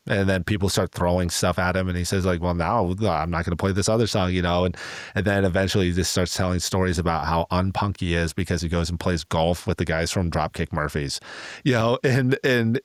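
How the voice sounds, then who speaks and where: A man with a low-pitched voice talks in a dry, close recording. He tells stories casually as a podcast co-host, slipping into quoted remarks in a loose conversational way. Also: dry recording.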